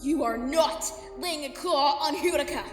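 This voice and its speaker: Squeaky, plucky little pony heroine. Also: female voice, a little slow. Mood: defiant. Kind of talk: fantasy audio drama acting. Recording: distant, echoey reverb over loud music.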